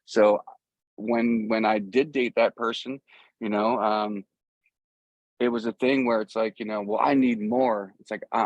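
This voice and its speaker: A middle-aged American man being interviewed as a musician, talking casually and earnestly in halting, rambling sentences with repeated phrases. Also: mid-range voice, no room sound, dull audio. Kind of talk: casual, rambling conversation about a music career.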